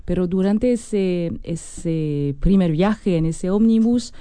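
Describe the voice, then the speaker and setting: A French woman speaking Spanish with a French accent as a musician guest in an interview, pausing and restarting as she searches for words. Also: on the low side, mellow, clean tone, no room sound. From France.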